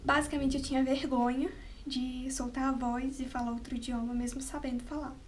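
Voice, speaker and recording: High-voiced chatty woman vlogger. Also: little pitch movement, fairly quick, a little hiss or hum. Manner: chatty, laughs often, trails off with "né". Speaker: travel vlogger. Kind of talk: unscripted casual storytelling. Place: at home, with cats nearby.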